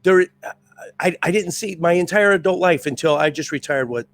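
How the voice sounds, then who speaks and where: A middle-aged man with a raspy voice from years as a drill instructor, talking casually as a podcast guest in an intense, amped-up way. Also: fairly high pitch, normal speed, fairly bright tone, a little hiss or hum. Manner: intense, amped-up, restless talker. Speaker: podcast guest, retired Marine first sergeant. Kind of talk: casual chat.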